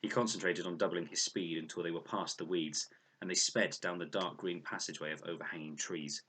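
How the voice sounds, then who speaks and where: A man reading a children's novel aloud as an expressive storyteller. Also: low voice, a little fast, a little hiss or hum. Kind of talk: expressive children's audiobook narration.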